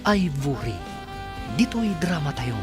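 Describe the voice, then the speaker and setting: Male radio drama narrator over loud music. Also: on the high side, sing-song, takes it slowly.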